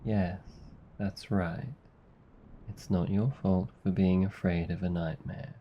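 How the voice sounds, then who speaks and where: Casting: Australian male actor for a tender comforting demon, deep and unhurried, husky, ASMR roleplay, slightly muffled recording. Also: fairly even intonation, a little hiss or hum. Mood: calm, reassuring. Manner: soft-spoken, slow, reassuring, rambling explanations. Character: gentle, caring male sleep paralysis demon. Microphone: close ASMR microphone.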